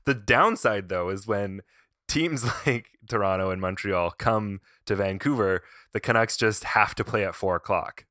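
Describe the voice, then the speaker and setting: A man hosts a casual podcast, talking in a lowish, bright and forceful voice. He tells stories in a loose, chatty way and laughs often. The sound is close and dry. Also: measured pace, dry recording. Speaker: podcast host. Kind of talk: casual chat.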